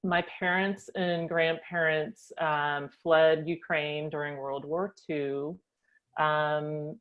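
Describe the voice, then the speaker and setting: Low-voiced woman telling her life story. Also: steady tone, slow pace, narrow, tinny audio. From United States. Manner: thoughtful, frequent ums. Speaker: guest. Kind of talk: reflective personal storytelling.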